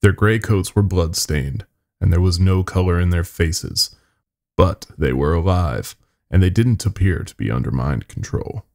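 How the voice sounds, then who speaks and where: A man's voice: sits low, unhurried, gravelly, a little mellow. A man narrating a scary story in the first person as a podcast storyteller, with dry, wry asides.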